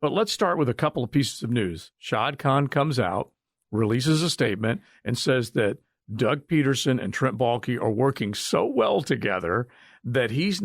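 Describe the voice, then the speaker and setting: A man's voice: mid-range voice, dry recording. Male sports radio host, mid-range voice, casual and joking, close dry sound. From southern United States. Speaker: sports talk show host.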